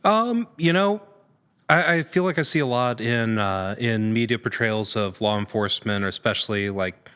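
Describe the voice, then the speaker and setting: A man's voice: fairly low pitch, normal speed, a bit bright, smooth. A man talking casually as a guest actor in an interview, picking his words in a hesitant, thoughtful way. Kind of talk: casual podcast chat.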